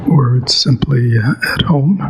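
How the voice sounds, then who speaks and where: A man teaching meditation in a measured, halting way with long pauses, as in a guided practice talk. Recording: clear background noise, ambient rumble.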